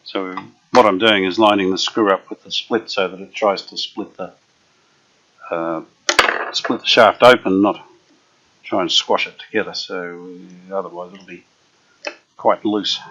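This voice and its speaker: Low-voiced man tinkering and thinking aloud. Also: fairly even intonation, a bit bright. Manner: unhurried, thinking aloud with many fillers. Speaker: vintage radio restorer. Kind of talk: casual workshop commentary. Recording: dull lo-fi workshop recording.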